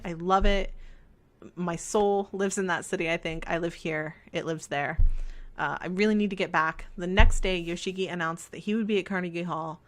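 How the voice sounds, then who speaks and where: A woman with a scratchy voice, chatting casually while playing a life sim game and rambling in a self-deprecating way. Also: fairly low pitch. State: scratchy voice from talking. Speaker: let's play host. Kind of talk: casual chat over gameplay.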